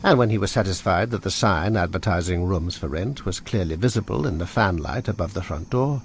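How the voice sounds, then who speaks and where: A man narrating a period radio drama in a measured, grave storytelling manner. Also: low voice, vocal fry, slight background noise.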